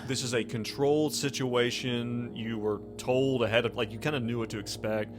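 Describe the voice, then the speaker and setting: A man of average pitch runs a tabletop roleplaying game. He narrates and explains rulings in a casual, conversational way, with clear music underneath. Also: steady tone, normal speed. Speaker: game master.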